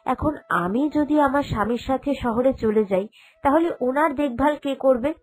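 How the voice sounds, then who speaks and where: A woman's voice: high voice, moderate pace, a little mellow. A woman voicing a sensible, caring young woman of the family in a cartoon drama, earnest and reassuring. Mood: earnest, firm. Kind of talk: Bengali cartoon drama dubbing.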